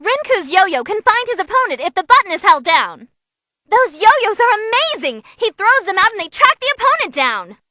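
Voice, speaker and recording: A woman voicing an excitable young female demon who commentates on tournament fights in a bubbly, theatrical way for a game. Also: extremely high pitch, moderate pace, dry recording, clean studio sound, heavily acted. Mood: excited, hyped.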